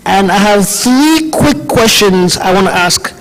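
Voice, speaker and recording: A man with a foreign accent speaks from a conference stage, his fairly high voice carrying a long reverb through a large hall. He talks in a loose, chatty way and often corrects himself mid-sentence. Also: expressive, measured pace, fairly bright tone. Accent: foreign, European-tinged. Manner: casual, chatty, self-correcting. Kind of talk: conference presentation.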